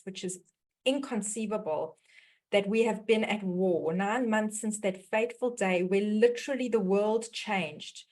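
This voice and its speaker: A woman with a South African accent hosts a webinar over a video call. Her voice sits at a middle pitch, and she talks in long, earnest sentences that run on. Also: darkish tone. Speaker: webinar host, dietitian. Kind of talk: webinar introduction.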